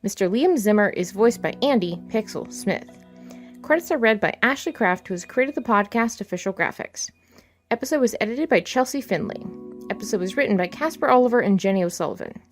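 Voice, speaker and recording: Credits reader: woman, mid-range voice, scripted announcement over light background music. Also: medium pitch. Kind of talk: scripted podcast credits read.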